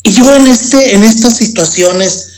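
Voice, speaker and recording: A Mexican man giving a personal testimony as an interview guest. He talks slowly and haltingly, often repeating words before going on. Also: high voice, a crowd in the background, rough recording.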